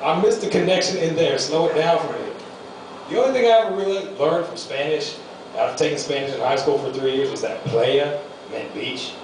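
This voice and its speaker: A man's voice: a little high, brisk, noisy background. A young man doing a loose, rambling stand-up comedy set at a small venue. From United States. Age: young adult. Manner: loose rambling delivery with fillers. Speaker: amateur stand-up comic. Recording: echoey room, mic far off.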